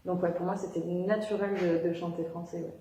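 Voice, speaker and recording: Young woman singer, slightly low voice, halting and filler-heavy, recorded in a roomy space. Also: little pitch movement, warm tone, slight background noise. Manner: hesitant, many fillers and restarts. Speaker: singer being interviewed. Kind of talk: casual interview chat. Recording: echoey room.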